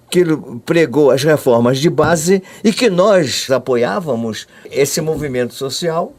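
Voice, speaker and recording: Older Brazilian male, fairly high voice, very lively intonation, emphatic recollections with repeated words. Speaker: interviewee recalling his own past. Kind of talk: conversational oral testimony.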